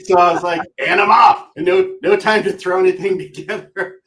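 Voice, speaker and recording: A man co-hosting a live stream in a relaxed, easy-going way, laughing often as he chats. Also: sits high, a little fast, background noise. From United States. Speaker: co-host. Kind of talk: casual live stream chat.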